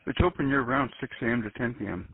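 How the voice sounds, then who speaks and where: A man's voice: mid-range voice, quick pace, husky, no echo. A man speaking politely and businesslike as a hotel phone agent, over a telephone. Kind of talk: customer service phone call. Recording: narrow tinny phone line.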